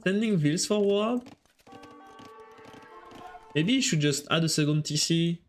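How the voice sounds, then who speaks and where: A man's voice: a little high, unhurried, soft background music. A man with a French accent casting a strategy game on a live stream, chatting with viewers between plays. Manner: chatty, answers chat while casting.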